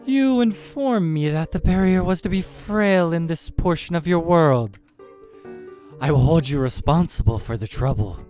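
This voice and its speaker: A man's voice: a little high, expressive, unhurried, a little mellow, soft background music, pitched above the performer's own voice. A man voicing a pompous, menacing female eldritch monster for a horror game, speaking in grandiose threats. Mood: imperious.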